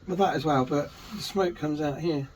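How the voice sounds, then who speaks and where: A man's voice: fairly high pitch, moderate pace, a little hiss or hum, poor audio. A man talking casually and offhandedly while helping with a van build. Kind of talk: casual chat.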